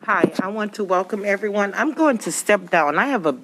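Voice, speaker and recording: A woman's voice: medium pitch, animated, brisk, noisy background. A woman executive director with a big, carrying voice, speaking plainly and directly to residents at a public hearing.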